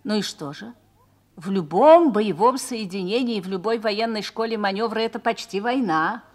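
A woman's voice: mid-range voice, moderate pace, a little mellow, close to an everyday voice. A woman playing a mature officer's wife in a radio drama, reflective and confiding as she reminisces and explains. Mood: thoughtful, persuasive. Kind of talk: Russian radio drama acting.

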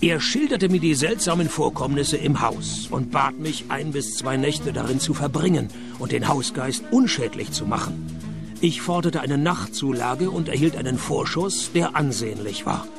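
Dry sarcastic private eye narrating a radio play, gravelly, bit of music behind. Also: male voice, medium pitch, expressive, moderate pace. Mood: wry. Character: wisecracking male private detective, dry and sardonic. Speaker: narrator and lead.

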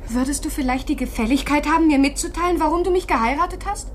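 An actress playing an indignant, neglected young wife in a comic radio play, speaking with theatrical reproach. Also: female voice, sits high, quick pace, slight background noise, close to an everyday voice. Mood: reproachful.

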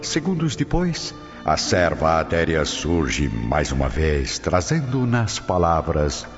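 A man's voice: rumbling low, wildly swooping pitch, slow, over music, exaggerated voice. A man with a Brazilian accent narrating a scripted radio drama as a solemn storyteller. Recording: dull studio track with long reverb.

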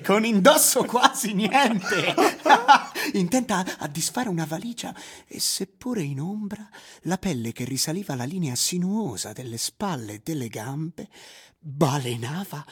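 Young slacker guy telling his story, breathy husky voice, swoops around a lot, wry and excitable. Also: a little high, a little slow. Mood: excited recollection. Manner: expressive, hesitant stammering repetitions. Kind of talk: theatrical first-person narration with acted dialogue.

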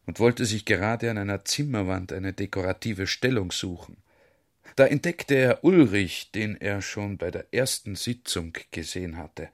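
A man narrating a literary radio drama in standard speech. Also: on the low side.

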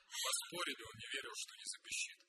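A man's voice: very high voice, huge pitch swings, a little fast, vocal fry, pushed and bright, heavily acted. An actor in a radio play playing a streetwise young male shoplifter, offhand and guarded, with terse, slangy, casual talk. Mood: offhand, boastful. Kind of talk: radio play acting, colloquial dialogue. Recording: noisy radio broadcast recording.

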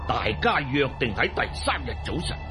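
A man's voice: mid-range voice, sing-song, moderate pace, natural voice. A man playing a boisterous, ruthless old Mongol khan in a Cantonese audio drama, talking in a hearty, patronising, theatrical way. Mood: hearty, scheming. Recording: music bed behind the voice.

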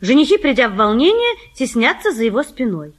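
High-voiced, animated woman narrator. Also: a little fast. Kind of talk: scripted narration of stage directions.

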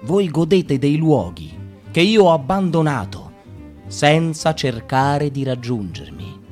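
A man reading a radio drama with an Italian accent, slow and halting, rambling in places. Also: on the high side, big pitch swings, a little mellow, faint music behind.

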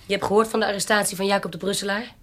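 An actress playing a shrewd, self-assured baroness in a radio drama, speaking formally, pointedly and insistently with a husky voice. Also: female voice, mid-range voice, flat delivery, racing, fairly bright tone, lightly acted. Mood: confrontational. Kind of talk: Dutch radio drama acting.

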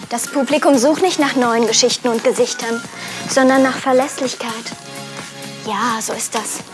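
A woman's voice: sits high, natural voice. A woman playing an irritated, self-conscious culture worker, delivering a pointed, exasperated spoken-word monologue. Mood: pointed, informing.

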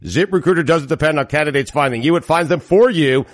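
A man hosting a podcast from his office, laughing a lot and reading ads briskly. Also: a little high, no room sound, studio quality. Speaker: podcast host. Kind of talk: casual podcast banter.

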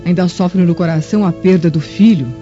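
A woman's voice: on the low side, a little fast, warm tone, natural voice. An actress playing a venomous, haughty Roman noblewoman in a radio drama, sarcastic and falsely sweet. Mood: spiteful, insinuating. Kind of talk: old-fashioned radio drama acting. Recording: dull lo-fi radio drama with music.

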